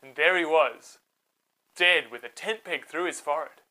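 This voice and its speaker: A woman narrating a scripted children's Bible story in a dramatic, expressive way. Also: sits low, moderate pace, poor audio.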